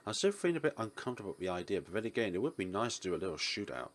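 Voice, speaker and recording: Male gaming commentator, low register, animated swings, playful read-aloud with joking asides. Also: racing. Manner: playful, exclaims and laughs, acts out the narrator's lines. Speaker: let's player reading a visual novel aloud.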